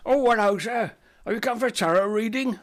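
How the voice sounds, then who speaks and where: A man's voice: sits high, brisk, voice pushed up, close to an everyday voice. A man voicing a fussy, chatty male apothecary who cheerfully sells poisons with eager sales patter. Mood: chirpy, salesy.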